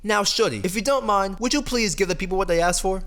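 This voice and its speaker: A man's voice: high-pitched, fast. A man hosting casual, humorous commentary with slang and exaggerated mock outrage. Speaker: host of a gaming and cartoon commentary video. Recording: loud music behind the voice. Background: loud background music.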